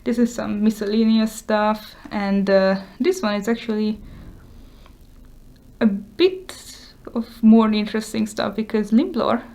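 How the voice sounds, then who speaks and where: Woman, hungarian first language, casual show and tell, slow and full of ums and restarts. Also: mid-range voice, creaky, dark tone. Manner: hesitant, many fillers and restarts. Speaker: vlogger showing her journal.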